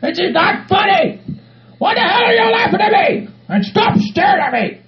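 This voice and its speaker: Shrill, ranting Southern hillbilly. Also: male voice, big pitch swings, moderate pace, shouting, heavily acted. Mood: exasperated, angry. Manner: ranting, repetitive, exasperated, folksy cursing. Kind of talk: improvised comedy skit in character voices. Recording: muffled lo-fi recording with faint music.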